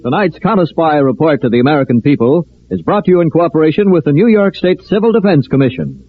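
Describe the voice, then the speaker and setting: Echoing 1950s radio announcer. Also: male voice, a little high, animated, quick pace, faint music behind. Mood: formal, emphatic. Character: formal male radio program announcer. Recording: echoey tinny old radio recording.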